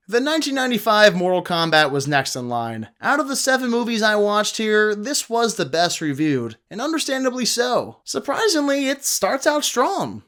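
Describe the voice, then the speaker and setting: Male video reviewer, fairly high smooth voice, sardonic and emphatic delivery. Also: fairly bright tone. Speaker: film review video host. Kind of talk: scripted review commentary to camera.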